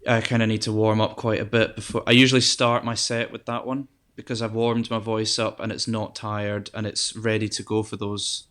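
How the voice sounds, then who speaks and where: Soft-voiced young man, flat and low. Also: moderate pace, hardly any pauses. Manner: relaxed, hesitant, many ums and restarts. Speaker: guest musician. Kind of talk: casual interview chat.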